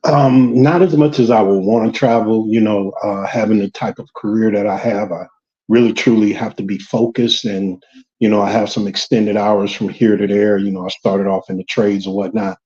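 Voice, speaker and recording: A man's voice: fairly low pitch, fairly even intonation, normal speed, a little mellow, lo-fi sound. A man from Georgia with a light Southern US accent, talking on a casual date in a rambling, hesitant way. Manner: rambling, hesitant, with many ums. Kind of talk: casual getting-to-know-you chat.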